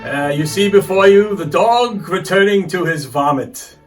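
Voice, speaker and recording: A man's voice: high voice, expressive, a little slow, recorded from a distance, faint music behind. A man playing a jovial, eccentric elderly retired clergyman in a dramatized novel reading, speaking in a declamatory way.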